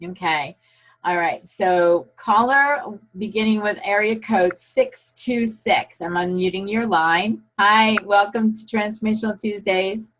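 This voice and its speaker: A woman hosts a live online talk show, welcoming callers and cheering them on. She sounds encouraging and affirming. Her pitch is mid-range for a woman. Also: a little slow, a bit bright, low-quality recording. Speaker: host. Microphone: computer video call.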